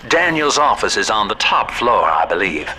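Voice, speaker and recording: Measured, refined male game guide, lowish register, explanatory delivery. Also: very animated, low-quality recording. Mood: measured, wistful. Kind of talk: measured video game guidance.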